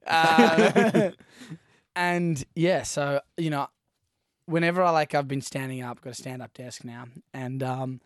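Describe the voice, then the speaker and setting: A man's voice: a little high, slow pace, no room sound, clean studio sound. A man co-hosting a podcast in casual chat, rambling through anecdotes and laughing as he goes. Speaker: podcast co-host.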